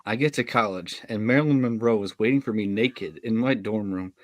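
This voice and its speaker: A man's voice: lowish voice, fairly quick, no room sound, lightly acted. A man playing an awkward, sarcastic, self-aware eighteen-year-old nerd in a script read. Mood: awkward musing. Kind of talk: live table read of a film script.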